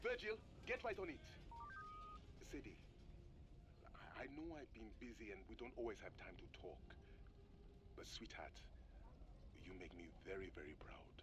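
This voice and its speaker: A man's voice: fairly low pitch, big pitch swings, moderate pace, long pauses, background noise. An actor playing a middle-aged scientist father, earnest and protective, in a dramatic game audio story. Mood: tender, hushed. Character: concerned, loving scientist father.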